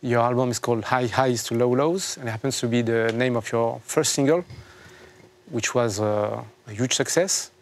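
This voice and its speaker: A man hosting an interview in English, asking questions in a somewhat hesitant, halting way. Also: medium pitch. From France. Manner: hesitant, slightly halting phrasing. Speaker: interview host.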